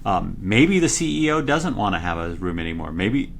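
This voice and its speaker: A man, a product engineer, explaining products in a conversational interview, excitable and loud when he gets going, with many restarts. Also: on the low side, normal speed, creaky, a little hiss or hum. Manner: frequent fillers and restarts.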